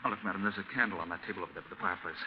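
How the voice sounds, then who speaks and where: An actor playing a blunt, folksy, skeptical American husband in a 1940s radio drama, practical and exasperated. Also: male voice, deep voice, very fast, crowd noise, natural voice. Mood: puzzled, insistent. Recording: muffled, very hissy 1940s radio recording.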